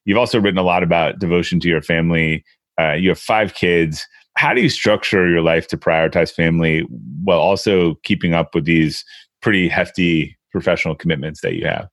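A man hosting a conversational interview, somewhat halting as he rephrases his thoughts while he goes. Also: deep voice, a bit bright. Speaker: podcast interviewer, host.

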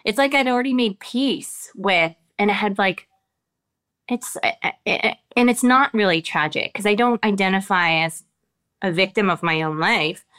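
Thoughtful actress, smooth clear voice. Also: female voice, medium pitch, a bit bright. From America. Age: adult with children. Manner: thoughtful, halting, many restarts and fillers. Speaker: actress being interviewed. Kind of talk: reflective interview answers.

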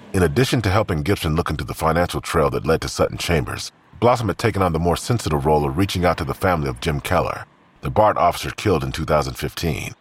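A man reading scripted narration as the narrator of an audio drama. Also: extremely low pitch. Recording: clearly noisy background ambience.

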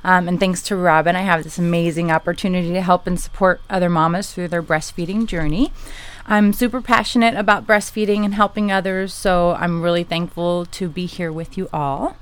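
Smooth-voiced woman producer, eager. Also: on the low side, fairly even intonation, brisk. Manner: warm, eager, with many ums. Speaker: podcast producer. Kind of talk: casual chat.

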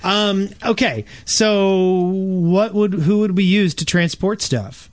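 A man's voice: high voice, fairly even intonation, slow pace. A man co-hosting a gaming podcast in a casual, conversational style. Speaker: podcast co-host.

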